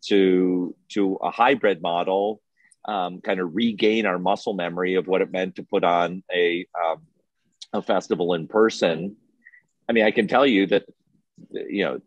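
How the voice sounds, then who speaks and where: A man giving a conversational interview answer as a guest, halting and searching for his words. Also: no echo. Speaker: film festival director, panel guest. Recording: muffled, boomy room sound.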